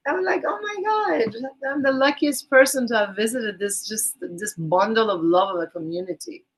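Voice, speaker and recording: A woman's voice: mid-range voice, animated, moderate pace, low-quality recording. A woman from Iran with a Persian accent, a guest on a podcast interview, talking enthusiastically about volunteer teaching, with a rambling, restarting manner.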